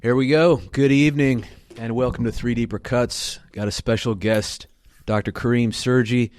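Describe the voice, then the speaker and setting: A man hosting a podcast, reading a written intro and then asking his guest questions in a measured, earnest way. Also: mid-range voice, steady tone, a little mellow, no room sound. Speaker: podcast host. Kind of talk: scripted intro and interview questions.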